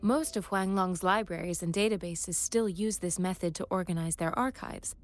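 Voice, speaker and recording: A woman's voice: on the low side, normal speed, faint music behind, natural voice. A woman voicing a composed, precise researcher in a video game, speaking formally and methodically. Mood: composed, informative. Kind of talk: video game character dialogue.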